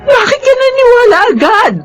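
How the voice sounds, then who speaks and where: A woman's voice: very high voice, big pitch swings, moderate pace, shouting, over music. Woman acting an exasperated mother, wildly swooping voice. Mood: scolding, resigned. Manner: emphatic, questioning, reproachful. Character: exasperated, scolding middle-aged Filipino mother. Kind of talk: melodramatic drama acting.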